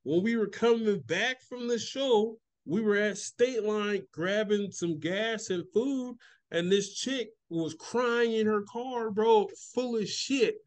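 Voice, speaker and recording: A man's voice: high-pitched, takes it slowly. A man on a casual podcast, telling stories loosely with lots of swearing and acted-out quotes. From California. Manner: profane, storytelling with acted-out quotes. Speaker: podcast co-host. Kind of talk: casual chat.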